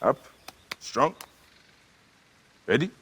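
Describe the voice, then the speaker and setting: Slow-spoken warrior father. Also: noisy background. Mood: gentle coaching. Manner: firm, coaxing, commanding. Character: warm, steady warrior father and protector. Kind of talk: dramatic video game acting.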